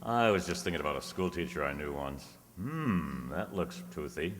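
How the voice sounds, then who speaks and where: Man playing a deep-voiced, glib private eye. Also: sing-song, normal speed. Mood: glib, teasing. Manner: glib, teasing. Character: flirtatious wisecracking male private detective. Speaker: actor playing a detective. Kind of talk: old-time radio detective acting with crooning.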